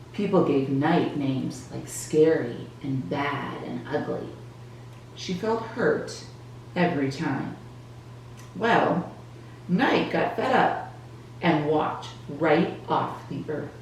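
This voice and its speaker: A woman narrates a children's picture book in a low register, taking her time over the words. Her delivery is expressive and deliberate. The sound is very echoey, picked up some way from the microphone. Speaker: children's storybook reader for a church Sunday school. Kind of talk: scripted storybook narration for children. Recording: roomy echo, distant mic, faint noise.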